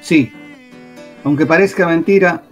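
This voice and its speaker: Casting: slightly high male explainer, steady and patient, guitar behind. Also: unhurried. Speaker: narrator explaining history. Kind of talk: radio drama narration.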